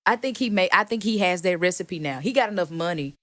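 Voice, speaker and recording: Woman, singer-songwriter guest; deep voice, emphatic and playful, dry close recording. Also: fast, no echo. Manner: animated, emphatic, playful teasing. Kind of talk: casual interview chat.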